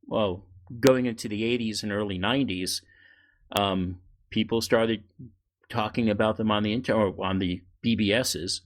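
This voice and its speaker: A man's voice: on the low side, fairly even intonation, moderate pace. A middle-aged man hosting a podcast, telling stories in a casual conversational way and acting out bits of remembered dialogue. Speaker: podcast host.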